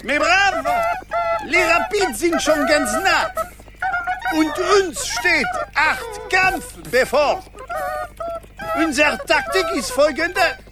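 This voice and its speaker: A man's voice: very high-pitched, sing-song, slow, full character voice, low-quality recording. A man acting a tiny, pompous earwig general who believes he is Napoleon, declaiming with a French accent in a radio play. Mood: rousing. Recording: music behind the voice.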